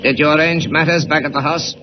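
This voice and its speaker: Guy doing jumpy priest henchman, high voice, broken english, old radio play, muffled n noisy. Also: fairly even intonation, brisk, lightly acted. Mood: curt. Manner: clipped broken English, protesting. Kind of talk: 1940s radio acting.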